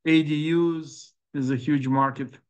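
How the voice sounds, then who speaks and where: A middle-aged man with a Ukrainian accent giving a startup presentation, halting and full of fillers and restarts. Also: a little high, steady tone, clear, resonant tone. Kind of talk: conference presentation with audience questions.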